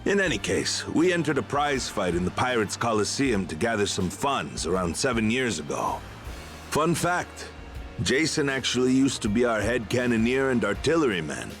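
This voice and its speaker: A man's voice: medium pitch, sing-song, moderate pace, a bit bright, faint music behind. An actor playing an earnest, loyal veteran pirate crewman in a video game, explaining things like a storyteller. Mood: explanatory.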